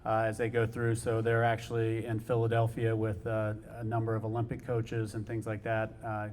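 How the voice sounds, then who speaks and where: A man's voice: on the low side, monotone, moderate pace, darkish tone, slight background noise. A man, the head swim coach, addressing families at a team meeting, informal and full of fillers. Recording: long reverb in a large hall.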